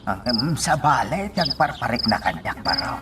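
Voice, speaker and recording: A man's voice: mid-range voice, sing-song, normal speed, very noisy, natural voice. A man playing a weary older father who broods anxiously over his daughter in a radio drama.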